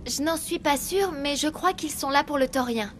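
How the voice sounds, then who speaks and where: A woman's voice: high voice, moderate pace, a little hiss or hum, natural voice. A woman playing an anxious, earnest young female research assistant in a video game. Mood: anxious, explaining. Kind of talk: French video game dub.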